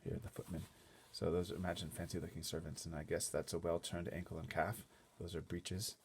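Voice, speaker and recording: A man reading a children's book aloud in an expressive, often hushed way, adding casual explanatory asides. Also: deep voice, brisk, background noise. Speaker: storybook reader.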